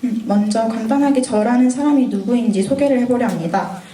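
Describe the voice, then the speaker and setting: A woman's voice: on the high side, a little fast, warm tone, roomy sound, far from the mic, a little hiss or hum. A woman in her twenties from North Gyeongsang with a sore throat, giving an earnest, lightly scripted mentoring talk to students. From North Gyeongsang, Korea. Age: 20s.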